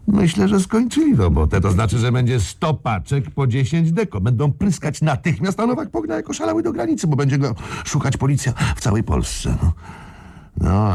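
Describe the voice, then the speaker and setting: An actor playing a scheming, cocky gang boss in a radio crime drama, with a gravelly, husky voice and colloquial, self-assured speech. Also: male voice, lowish voice, mellow, exaggerated voice.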